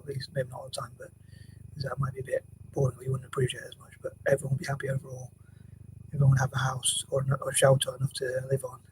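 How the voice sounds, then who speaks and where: Quiet, mumbling man rambling in a monotone. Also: mid-range voice, background noise. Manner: hesitant, mumbling, many restarts and fillers; often drops to a near whisper. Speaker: vlogger. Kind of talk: rambling casual vlog monologue. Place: home room, building work next door.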